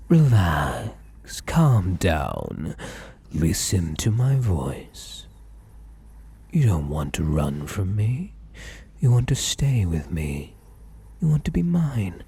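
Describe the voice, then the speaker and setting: A man with a British accent playing a possessive, obsessive, sweetly menacing bunny man in an ASMR roleplay, coaxing and theatrical, with a breathy voice that slips into whispers. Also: low voice, a little mellow, dry recording, heavily acted. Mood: hypnotic, possessive. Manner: theatrical, coaxing, drawn-out polite endearments.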